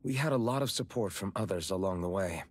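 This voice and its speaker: An actor voicing an earnest, resolute young hero in a video game, speaking in a direct, dramatic manner. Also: male voice, low-pitched, lively intonation, brisk, slightly rough. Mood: earnest calm. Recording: game music under the dialogue.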